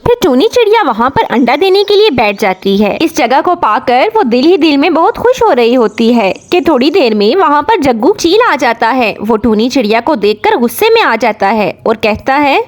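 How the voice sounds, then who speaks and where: High-pitched woman narrating a children's story. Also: very animated, moderate pace, few pauses.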